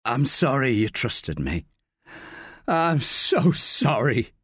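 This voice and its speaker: A dying man, weary and resigned, speaking slowly with an earnest urgency, as a reader puts him on. The voice is a little raised and animated, close and dry. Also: fairly high pitch, no room sound, voice pushed up, full character voice. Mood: urgent, resigned.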